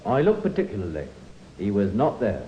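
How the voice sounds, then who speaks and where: An actor playing a respectful, earnest chess club steward giving evidence in an old radio drama. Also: male voice, lowish voice, wildly swooping pitch, fairly quick. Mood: careful. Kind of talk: 1950s radio drama acting. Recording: muffled, hissy old radio recording.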